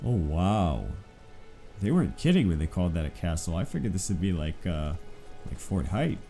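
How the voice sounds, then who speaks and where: Male gamer, low register, nasal and congested from allergies, casual play-along commentary over clear background music. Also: sing-song, normal speed, warm tone. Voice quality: stuffy-nosed, nasal. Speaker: video game player and narrator.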